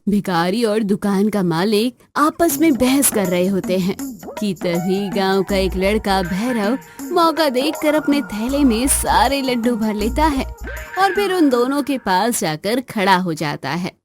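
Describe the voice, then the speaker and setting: A woman's voice: medium pitch, lively intonation, measured pace, over music. A woman narrating a scripted children's story.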